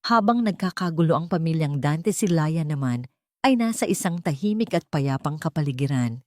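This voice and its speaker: A woman's voice: sits low, mellow, dry recording, studio quality. A woman storyteller narrating a scripted drama in a dramatic style.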